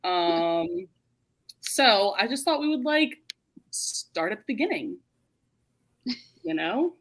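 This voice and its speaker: A woman's voice: medium pitch, big pitch swings, takes it slowly, rough recording. A woman hosting a book discussion in a casual, giggly, informal way. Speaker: host of a book readalong live show.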